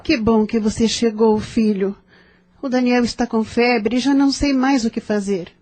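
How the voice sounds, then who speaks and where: A woman's voice: a little high, steady tone, moderate pace, a little mellow, natural voice. A woman playing a worried, overburdened mother of a poor family, speaking anxiously in an audio drama.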